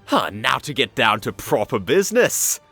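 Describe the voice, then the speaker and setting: Manic, teasing adventurer stallion, acted for a fan audio story: cocky, hugely varied pitch, light music underneath. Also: sing-song, normal speed, sharp tone, breathy edge, heavily acted. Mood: brisk, urgent. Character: cocky, flippant, manic male alien-hunting adventurer stallion.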